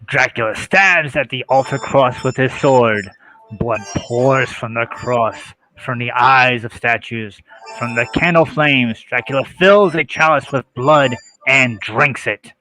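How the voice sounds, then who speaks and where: A man's voice: medium pitch, measured pace, sharp tone, soft background music, poor audio. A man narrating scenes and stage directions in an amateur script read-through.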